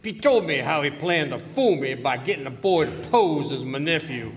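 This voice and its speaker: A man's voice: fairly high pitch, animated, moderate pace. A man acting a folksy, emphatic old rancher in a western radio drama. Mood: indignant. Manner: plainspoken, emphatic western drawl. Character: folksy, hearty old male rancher uncle. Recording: reverberant hall.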